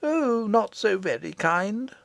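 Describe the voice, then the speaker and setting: A woman voicing a kindly, dignified old great-great-grandmother queen in a storybook audiobook, gentle, gracious and composed. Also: mid-range voice, very animated, slow pace, creaky, no room sound, voice pushed up, heavily acted.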